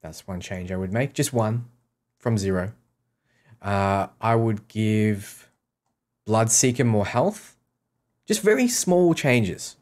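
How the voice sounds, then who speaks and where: A man's voice: lowish voice, animated, slow pace, long pauses, darkish tone. A man streaming a game, casually reading out questions and answering them offhand in short, repeated sentences. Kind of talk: casual livestream Q&A.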